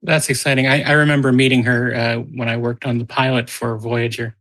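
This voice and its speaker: A middle-aged man co-hosting a podcast, chatting casually with hesitant pauses. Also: medium pitch, little pitch movement, fairly bright tone. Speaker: podcast co-host. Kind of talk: casual reminiscing chat.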